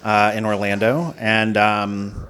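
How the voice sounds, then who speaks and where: A man's voice: fairly low pitch, flat delivery, takes it slowly, a bit bright. A middle-aged American man from Florida, a film producer speaking casually as an interview guest, often checking in with "right?". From Florida, United States. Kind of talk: casual panel talk.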